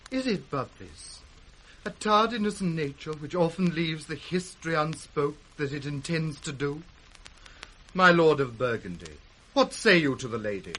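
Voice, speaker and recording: A noble and ardent king in classical radio drama. His voice is bright and a little high, lofty and earnest in its declamation. A faint hiss of old radio lies under it. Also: lively intonation, moderate pace, rough recording. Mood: ardent, tender. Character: noble, ardent king of France, honourable suitor.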